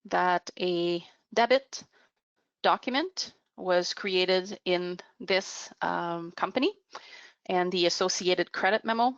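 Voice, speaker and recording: A woman presenting a software demo in a webinar, explaining step by step off the cuff with halting pauses. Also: lowish voice, clean tone, no room sound, muffled recording. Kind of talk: unscripted software demo narration.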